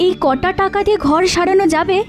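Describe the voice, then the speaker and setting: A poor, excitable village wife from a cartoon folk tale. Her voice is extremely high, exclaiming and questioning with doubt. Faint music runs underneath. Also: fast. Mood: doubtful.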